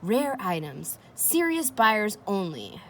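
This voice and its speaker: A woman reads a children's chapter book aloud with lively, swinging intonation. She addresses young listeners directly in a chatty, engaging manner. Her voice sits at a middling pitch, with faint noise behind it. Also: takes it slowly. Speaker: read-aloud host.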